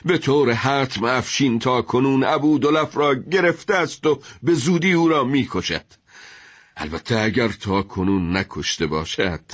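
A man's voice: mid-range voice, dry recording, voice pushed up, natural voice. A man playing an anxious, wise judge in a dramatised historical story, grave, formal and deferential in manner. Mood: alarmed. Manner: grave, formal, worried delivery.

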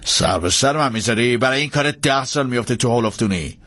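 A man's voice: mid-range voice, lively intonation, sharp tone, no room sound. A man acting a stern, swooping, angry lawman. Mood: stern, accusing. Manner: barking orders. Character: stern, threatening male lawman, bossy and angry. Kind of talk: dramatised audiobook character.

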